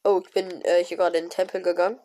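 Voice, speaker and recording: A woman with a cold, giving casual, excited commentary on her own gameplay with many fillers and exclamations. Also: on the low side, quick pace, slight background noise, poor audio. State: has a cold. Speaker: gaming video creator. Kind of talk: casual let's-play commentary.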